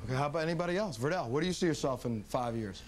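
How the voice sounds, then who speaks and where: A man hosting a studio talk show, quickly passing a handheld mic around and joking with guests and the audience. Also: a little high, expressive, noisy background. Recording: studio audience murmur behind.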